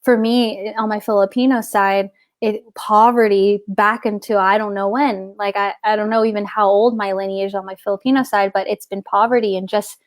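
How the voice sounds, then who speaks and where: Middle-aged woman guest, casual and meandering, mid pitch, dry close recording. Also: fairly even intonation, moderate pace, few pauses, no echo. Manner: rambling, searching for words with many restarts. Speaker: guest author. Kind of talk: casual spiritual conversation.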